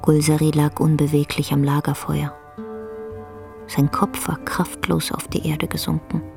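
A woman playing the storyteller of a somber tale, narrating a radio drama in a measured, grave, literary way. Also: deep voice, flat delivery, gravelly, darkish tone, soft background music. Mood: grave, hushed.

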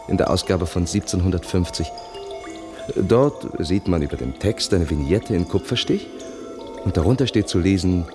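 An actor playing a composed, literate male companion in a radio drama, with a measured, lyrical, erudite delivery. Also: deep voice, natural voice.

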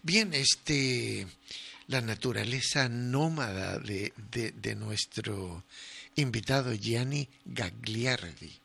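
Raspy bright guy hosting a radio chat, stumbles and repeats himself. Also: mid-range voice. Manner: halting, repeats words while framing questions. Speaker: radio host. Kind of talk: conversational radio interview.

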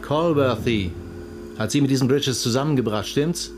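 An actor playing a no-nonsense police detective in a radio crime drama, formal and pressing. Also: male voice, mid-range voice, normal speed, background noise, lightly acted. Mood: interrogating.

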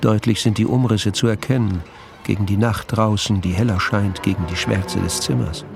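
A man's voice: fairly low pitch, fairly even intonation, normal speed, vocal fry, a little mellow, faint music behind. A man narrating a literary crime radio play. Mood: measured. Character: male narrator of a crime story.

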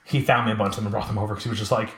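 A man in his late twenties chatting casually and talkatively, telling animated stories in a roomy, echoing space. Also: fairly low pitch, rapid-fire. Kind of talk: casual chat about collectibles. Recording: roomy, echoing room sound.

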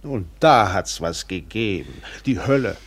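A weathered steamship captain in a radio play. His voice is very low and husky, and he speaks with widely swinging intonation, like a practised literary storyteller. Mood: blunt, agitated. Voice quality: gravelly, husky. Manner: expressive, measured literary storytelling with acted dialogue. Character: weathered steamship captain recounting a colonial tale. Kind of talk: German radio play narration and dialogue.

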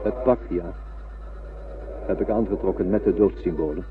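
A man's voice: low-pitched, normal speed, close to an everyday voice. An actor playing a solemn, mystical spaceship crewman resigned to death in a radio drama, poetic and prophetic. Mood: solemn farewell. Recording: distant, noisy, phone-like old radio recording.

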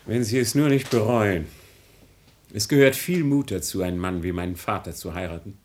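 An actor playing an earnest, zealous middle-aged idealist with a formal, preaching manner in a 1950s radio play. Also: male voice, lowish voice, moderate pace, a little mellow, close to an everyday voice. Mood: coolly formal.

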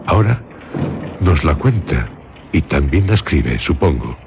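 A man's voice: rumbling low, very animated, unhurried, a little mellow, a little hiss or hum. A man, hosting and narrating a literary radio drama. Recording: music behind the voice. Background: music.

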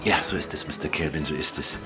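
An actor playing a composed, dryly persistent gentleman detective in a radio crime drama, measured and pointed in his questioning. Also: a bass voice, fairly even intonation, loud music behind the voice. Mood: courteous.

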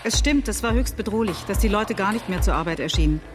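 An actress playing a cautious, prim bourgeois woman in a German radio drama, measured and formal in her speech. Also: mid-range voice, animated, music in the background, lightly acted. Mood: worried. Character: prim, cautious moderate bourgeois woman valuing order and compromise.

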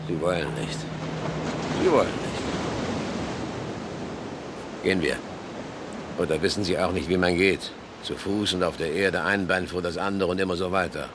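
A man's voice: low-pitched, background noise, close to an everyday voice. An actor playing an impatient, sarcastic policeman in a radio play, brusque and exasperated. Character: impatient sarcastic policeman taking someone into custody.

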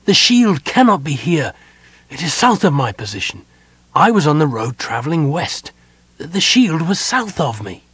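Young male elf prince, voiced by a male narrator: anguished and imperious, raised pitch, big swings, dry studio sound. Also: sing-song, moderate pace, dry recording. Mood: anguished, despairing. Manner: impassioned, urgent, commanding.

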